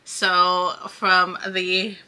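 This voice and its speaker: A woman's voice: fairly low pitch, completely flat delivery, drawn-out words, sharp tone, clear, resonant tone. A woman in her early thirties chatting casually in a sit-down vlog, rambling and laughing often. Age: 30s. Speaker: vlogger.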